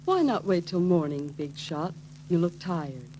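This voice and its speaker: An actress playing a bossy, fussing wife, prim and chiding, in 1940s film style. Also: female voice, lowish voice, very animated, unhurried, warm tone. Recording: faintly noisy 1940s film soundtrack.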